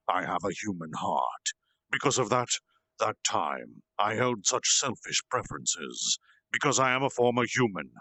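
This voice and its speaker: A man voicing a gentle, earnest slime monster leader who was once human, speaking in a solemn, halting confessional way for a storytime reading. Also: on the low side, gravelly, dry recording, exaggerated voice, poor audio. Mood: solemn, remorseful.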